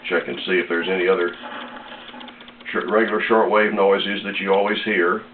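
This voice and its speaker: American man, lowish voice, casual demonstration, unhurried, plain-spoken, roomy echo, slightly muffled audio with faint noise. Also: little pitch movement. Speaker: radio seller demonstrating a set.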